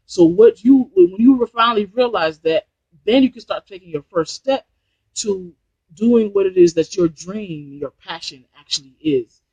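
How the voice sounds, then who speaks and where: High-voiced man giving casual business advice. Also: expressive, measured pace, a little mellow, husky, no room sound, highs cut off. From New Jersey, USA. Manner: rambling, repeats and restarts phrases, motivational. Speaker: online business trainer. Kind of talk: casual live-stream talk. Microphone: Bluetooth headset.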